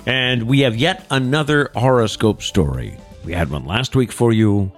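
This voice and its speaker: A man's voice: medium pitch, expressive, moderate pace, soft background music. A middle-aged man with a nasal, congested voice, hosting a casual morning show and reading the rundown. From South Coast Massachusetts. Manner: chatty, reads segment rundowns. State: congested from a cold. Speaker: show host. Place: small studio.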